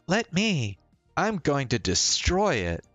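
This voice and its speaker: Cheeky, giddy young woman for a visual novel, put on by a low-voiced streamer: raised pitch, playful mock drama, roomy sound. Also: wildly swooping pitch, takes it slowly, lo-fi sound, full character voice. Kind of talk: visual novel character read-aloud. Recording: roomy sound, faint music behind.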